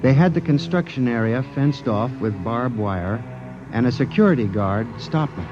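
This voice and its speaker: Male actor as anxious statistician hero of 1950s radio sci-fi; highly expressive, earnest, dulled vintage recording. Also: normal speed, close to an everyday voice. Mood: grave, reflective. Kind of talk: 1950s radio drama acting and narration. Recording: muffled vintage radio recording with music behind.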